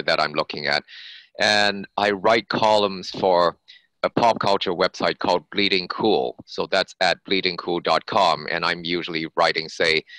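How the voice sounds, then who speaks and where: Male author guest, low-pitched with a bright edge, explanatory conversational answers, dry recording. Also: no echo, poor audio. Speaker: author being interviewed as a guest.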